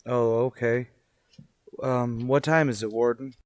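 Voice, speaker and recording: An actor plays a meek man on death row in a radio serial. He sounds earnest and unsure of himself, stumbling gently over words, in a dry recording. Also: medium pitch, fairly even intonation, a little slow, dry recording, natural voice. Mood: resigned. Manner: hesitant, earnest. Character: meek, innocent condemned male prisoner, earnest and downcast. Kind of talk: old-time radio drama acting.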